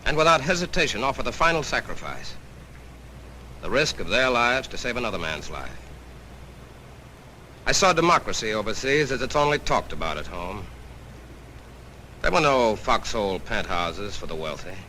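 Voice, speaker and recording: A man's voice: lowish voice, sing-song, moderate pace, pauses often, pushed and bright, low-quality recording. An American army chaplain, a man speaking with indignant, earnest rhetoric in a 1940s newsreel. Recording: old 1940s film soundtrack, faint noise.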